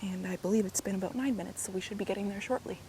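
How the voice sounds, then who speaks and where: A woman, hoarse and a bit raspy from a cold, chatting casually as she walks through a park and vlogs on a handheld camera, often dropping into soft asides. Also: racing, a little hiss or hum, low-quality recording. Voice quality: raspy, slightly deep. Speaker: walking vlogger. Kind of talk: casual walking commentary. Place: outdoors in a park while walking. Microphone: handheld gimbal camera mic. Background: faint outdoor ambience.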